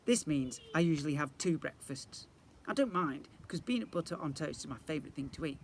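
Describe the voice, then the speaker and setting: A man's voice: a little high, vocal fry, a bit bright. A man narrating a children's storybook like an infant school teacher, expressively and directed at young listeners. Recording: faint background noise.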